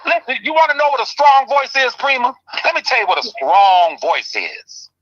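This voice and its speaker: A man with a high, bright voice rants with passion on a talk show. He swings between loud outbursts and low, pressing remarks. The audio is dull and lo-fi. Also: expressive, normal speed. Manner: impassioned, ranting, swings loud and soft. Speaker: commentary show host. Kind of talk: heated casual talk.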